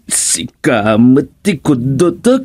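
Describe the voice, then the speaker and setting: A man's voice: mid-range voice, big pitch swings, slow, noisy background. A Filipino man playing a flustered, henpecked village councilman in a radio comedy, stammering and making excuses. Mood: whining, flustered. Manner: stammering, hesitant, exclamatory. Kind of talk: comedic radio drama acting.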